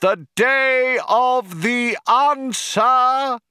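A rapturous male orator from a storybook, proclaiming to a vast crowd. The voice is pitched very high and pushed loud, with grand, stretched-out phrases. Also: clear, resonant tone, no echo, clean studio sound, heavily acted. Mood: exultant, proclaiming. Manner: grandiose, declamatory, drawn-out proclaiming. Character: rapturous male cheerleader addressing a huge crowd by tannoy. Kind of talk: theatrical audiobook character voice.

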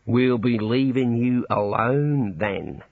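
A man's voice: mid-range voice, slow, no room sound, narrow, tinny audio. A man voicing a cheerful prison warder, breezy and matter-of-fact about grim things. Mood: jovial. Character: cheerful older male prison warder, jovial, chatty.

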